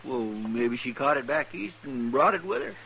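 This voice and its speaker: An actor playing a folksy, earnest deputy sidekick with a rural American drawl in a radio western. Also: male voice, medium pitch, animated, normal speed, fairly bright tone. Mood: curious, earnest. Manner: folksy, homespun. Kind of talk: old-time radio western acting. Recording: very noisy vintage radio recording.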